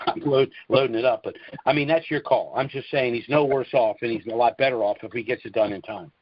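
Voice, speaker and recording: A man's voice: mid-range voice, fairly quick. A man hosting a call-in conference call, giving informal advice and repeating his phrases for emphasis, over a telephone line. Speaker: call host and trust seller advising callers. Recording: dull lo-fi conference phone line.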